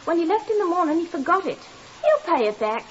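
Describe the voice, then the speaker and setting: A fretful landlady, very high and animated in voice. She chatters in a flustered, deferential way, as in a 1950s radio play. The recording is dull. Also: brisk, a little hiss or hum. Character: fretful, chatty, deferential landlady lending money to her lodger. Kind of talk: 1950s radio drama acting.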